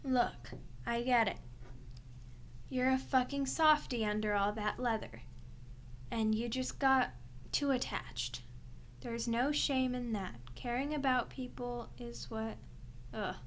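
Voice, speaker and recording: A woman's voice: a little high, fairly even intonation, slow, long pauses, slight background noise. A woman playing a sardonic, teasing, centuries-old female vampire in an ASMR audio roleplay, sweary and unrepentantly bloodthirsty. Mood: grudgingly tender.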